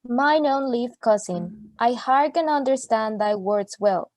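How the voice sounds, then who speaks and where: A woman's voice: on the high side, lively intonation, unhurried, darkish tone, no room sound. A woman playing a solemn, wounded young woman who declaims in formal, archaic phrasing in a radio play. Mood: sorrowful reproach. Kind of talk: amateur radio play acting.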